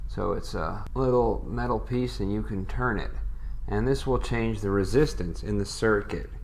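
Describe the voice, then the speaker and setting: Patient male tutorial instructor, low and gravelly, thinks aloud. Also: normal speed, a little mellow, slight background noise. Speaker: electronics instructor. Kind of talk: step-by-step tutorial.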